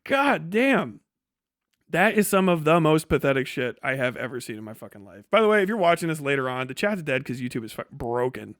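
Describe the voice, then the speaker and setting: A man's voice: medium pitch, big pitch swings, brisk, a bit bright, no room sound, studio quality. A man talking casually as a livestream host, blunt and sarcastic, with frequent swearing.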